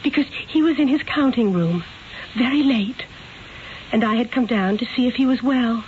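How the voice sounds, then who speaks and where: An actress in an old-time radio drama playing a gentle, anxious aristocratic young wife, earnest and theatrical, with formal diction. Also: female voice, on the high side, normal speed, narrow, tinny audio, natural voice. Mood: fearful confiding. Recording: thin, hissy old radio broadcast.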